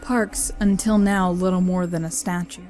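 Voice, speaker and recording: A woman's voice: fairly low pitch, fairly even intonation, moderate pace, a little mellow. A woman giving scripted narration of a horror story as its narrator. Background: music.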